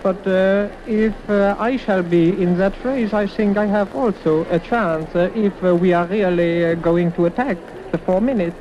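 Halting young man, muffled archival recording. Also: sits high. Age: young adult. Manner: hesitant, halting. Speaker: athlete being interviewed. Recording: muffled archival interview, faint noise.

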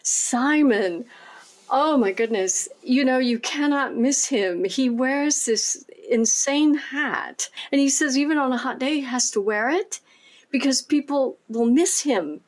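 A woman from the United States, a singer and performer, talking enthusiastically with many fillers and restarts. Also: high-pitched. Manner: warm, enthusiastic, halting with fillers. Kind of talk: casual interview.

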